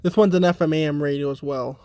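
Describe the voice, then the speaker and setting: A man giving a casual, unscripted demonstration as a hobbyist, halting and trailing off mid-thought. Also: on the high side, fairly even intonation, dark tone, no echo. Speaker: hobbyist demonstrating a weather radio collection.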